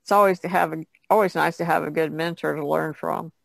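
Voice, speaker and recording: A woman's voice: deep voice, steady tone, fairly quick, creaky, no room sound. A woman talking casually as a guest on a podcast. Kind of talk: casual conversation.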